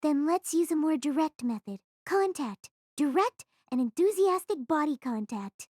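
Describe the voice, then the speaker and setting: A woman's voice: high voice, lively intonation, normal speed, dark tone, dry recording, clean studio sound. A woman voicing a bold, meddling teenage schoolgirl in an audio drama, pushy and teasing. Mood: scheming.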